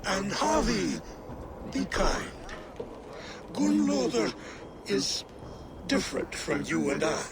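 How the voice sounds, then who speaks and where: An actor playing a formal, guarded male giant ruler in a video game drama, speaking deliberately and courteously with an edge of warning. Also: sits high, sing-song, noisy background, full character voice. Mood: earnest caution.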